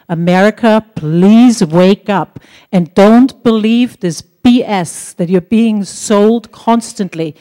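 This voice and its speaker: A woman's voice: mid-range voice, mellow. A woman from Austria with an Austrian German accent, giving an earnest, increasingly indignant public comment as a resident at a hearing.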